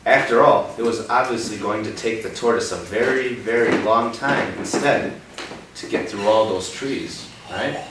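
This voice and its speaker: A man reading a picture book aloud to children, pausing to ask them questions in a patient, coaxing way and checking in with "right?". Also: fairly low pitch. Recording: echoey room, distant mic, background noise.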